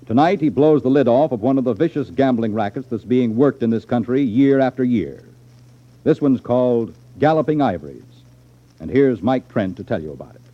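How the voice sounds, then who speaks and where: A man's voice: mid-range voice, animated, a little fast, muffled recording. A man announcing a radio programme in a formal 1950s broadcast style, with dramatic emphasis. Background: faint music.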